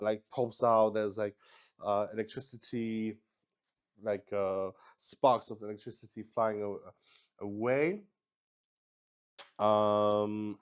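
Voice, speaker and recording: A man improvising narration as he plays a solo tabletop roleplaying game, thinking aloud in a halting way. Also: on the low side, darkish tone, smooth. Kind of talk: improvised game narration while rolling dice.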